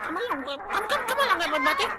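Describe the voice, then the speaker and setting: A man voicing a flustered little bird that hurries everyone home, in a fussy squeaky manner. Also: big pitch swings, rapid-fire, sharp tone, full character voice.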